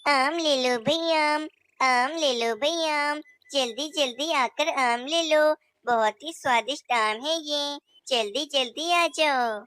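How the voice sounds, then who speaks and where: Woman doing a sweet high bird girl. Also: takes it slowly, fairly bright tone. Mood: calling out. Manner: sweet, polite, eager. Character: cheerful young female bird mango seller. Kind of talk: cartoon voice acting.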